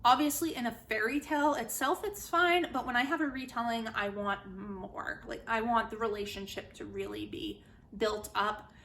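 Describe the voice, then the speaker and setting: A woman giving a casual, chatty spoken book review, retelling and commenting in an animated way. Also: a little high, moderate pace, slight background noise. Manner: animated, chatty, acts out quotes informally. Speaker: book reviewer, host of a literary video series.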